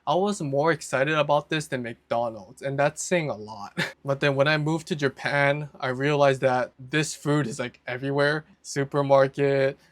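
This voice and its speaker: A man's voice: medium pitch, fairly bright tone, dry recording. A young American man from Hawaii talking casually and informally to camera as a vlogger. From Hawaii, United States. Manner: informal, conversational, mild slang. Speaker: vlogger presenting a list of favourite foods.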